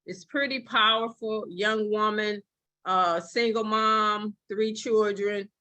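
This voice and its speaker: A woman's voice: medium pitch, steady tone, takes it slowly, pushed and bright. A woman on a video call giving an emphatic motivational talk to her team and introducing a video. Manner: emphatic, repetitive.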